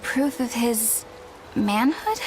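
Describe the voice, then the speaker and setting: A woman's voice: fairly high pitch, takes it slowly, poor audio. A woman playing an earnest young female knight in a video game, prim, skeptical and easily flustered. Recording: game music under the voice.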